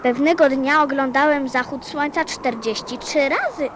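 A woman's voice: sits high, normal speed, noisy background, lightly acted. A woman playing a small, sensitive little prince in a radio play, with a childlike, earnest, questioning manner. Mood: wistful.

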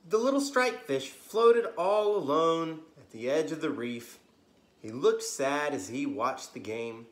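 An American man in his thirties hosting a children's story time, reading aloud expressively with gentle asides to young listeners. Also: a little high, expressive, takes it slowly. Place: living room, on a couch indoors. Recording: roomy, echoey home recording.

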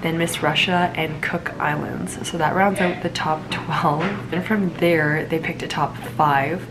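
A woman's voice: low voice, steady tone, unhurried, noisy background. A young woman with a stuffed-up cold, reviewing a pageant in a bubbly, rambling, casual vlog to camera from a small room. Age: young adult. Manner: bubbly, frequent "like", rambling asides. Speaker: pageant vlogger. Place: small indoor room at home.

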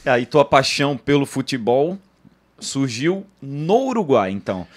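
A man hosting a podcast, speaking from a home music studio, feeding his guest short prompts and quick agreeing interjections. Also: mid-range voice, very animated. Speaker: podcast host. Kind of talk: casual interview talk. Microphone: studio mic on boom arm.

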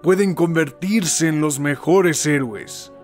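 A man's voice: fairly high pitch, soft background music. Man voicing a solemn heroic anime mentor. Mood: earnest, stirring. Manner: solemn, measured, emphatic. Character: earnest heroic older male mentor and teacher. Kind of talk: voice acting demo of anime dub monologue.

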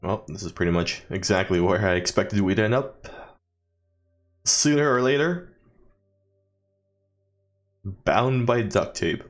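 Male let's player, lowish voice, casual and rambling, wry humour. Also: slow pace, long pauses. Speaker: gaming video host. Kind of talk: casual let's play commentary.

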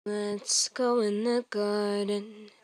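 A woman singing a gentle lullaby, drawing out the words. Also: mid-range voice, little pitch movement, very slow, no echo.

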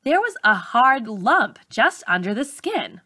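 A woman reading a memoir aloud like an audiobook narrator, with measured, clearly phrased delivery. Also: a little high, very animated, bright, forceful tone, dry recording, studio quality. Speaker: teacher reading a book aloud.

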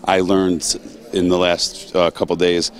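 Deep-voiced excited promoter, rambling. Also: male voice, measured pace. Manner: excited, rambling, repeats words. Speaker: show promoter. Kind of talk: casual interview. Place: large indoor stage. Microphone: interviewer's handheld mic.

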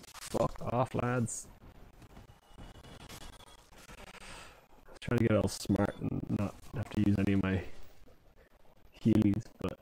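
A man's voice: on the low side, fairly even intonation, takes it slowly, creaky, pauses often, mellow, slight background noise, poor audio. A man playing a video game on a comedy show, chatting casually and laughing at his own mistakes. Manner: laughing, self-mocking. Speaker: player and lead character. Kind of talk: casual comedic gameplay chat.

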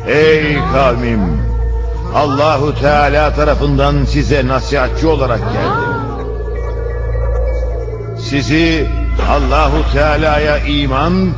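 Solemn male narrator, fairly high-pitched. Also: slow pace. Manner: solemn, declamatory. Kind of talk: scripted narration of a religious cartoon, reciting quoted speech. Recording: muffled audio under prominent music.

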